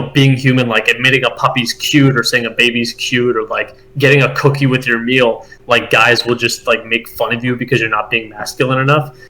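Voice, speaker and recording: A man co-hosting a casual chat podcast, talking in a rambling, animated way with many fillers and restarts. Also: mid-range voice, poor audio. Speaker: podcast co-host.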